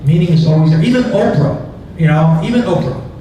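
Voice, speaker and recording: A man's voice: fairly high pitch, expressive, brisk, mellow. A man presenting his book to an audience, talking conversationally with restarts and chuckling asides. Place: museum event hall. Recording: echoey hall from far off, noisy.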